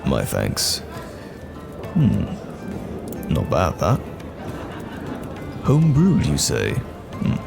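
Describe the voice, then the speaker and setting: Very deep, gravelly wandering knight played by a man. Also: wildly swooping pitch, heavily acted. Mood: relaxed, appreciative. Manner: measured, courteous, old-fashioned phrasing. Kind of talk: fantasy audio roleplay acting. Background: music.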